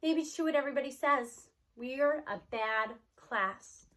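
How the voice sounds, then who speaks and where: A woman's voice: sits high, a little slow, fairly bright tone. A woman voicing an earnest, outspoken eighth-grade schoolgirl in a storybook, candid and conversational. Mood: apologetic.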